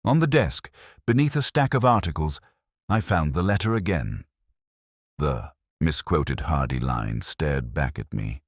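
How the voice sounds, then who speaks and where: Very deep male narrator, brooding and measured. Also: lively intonation, no echo, studio quality. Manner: brooding, measured, literary delivery. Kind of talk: scripted first-person detective story narration.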